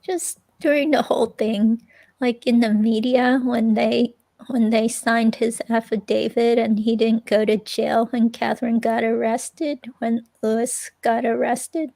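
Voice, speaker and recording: Uneasy young ex-girlfriend at a police interview, played by a woman: halting, flat in pitch, fry-edged. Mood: hesitant, uneasy. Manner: halting, hesitant, filler-laden delivery. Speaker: reader playing an interviewee. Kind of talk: dramatic reading of police interview transcript.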